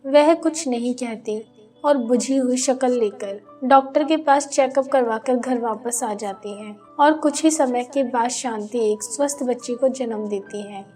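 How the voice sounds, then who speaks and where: A woman's voice: fairly high pitch, dark tone. A woman reading scripted narration for a cartoon family story.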